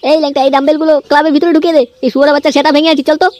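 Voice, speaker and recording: Casting: performer as ranting young village tough for crude comic cartoon, high voice, extremely rapid delivery, aggressive swagger. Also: flat delivery, darkish tone, natural voice. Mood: angry, ranting. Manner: ranting, aggressive, swearing constantly. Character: foul-mouthed hot-headed young village club tough.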